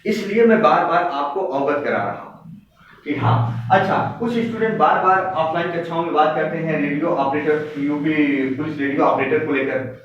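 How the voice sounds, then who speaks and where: A man's voice: a little high, normal speed. A man speaking as a coaching teacher, giving students an exam update in an emphatic, exhorting way with repeated phrases. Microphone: distant microphone. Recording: very echoey room, distant muffled mic, faint noise.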